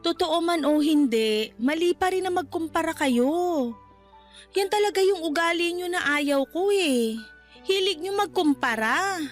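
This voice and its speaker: A woman's voice: very high-pitched, animated, unhurried, soft background music. A woman playing a young Filipino widowed mother in a radio drama, respectful but firm as she defends her children. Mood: firm reproach.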